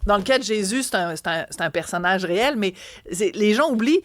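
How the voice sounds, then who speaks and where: A woman with a Québécois French accent talks through a story in a studio, chatty and animated, often stopping to restart a phrase. Her voice sits in a mid range and is recorded close and dry, with no room sound. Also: medium pitch, fairly quick, dry recording. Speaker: co-host of a talk segment. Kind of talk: casual chat on a radio and TV show.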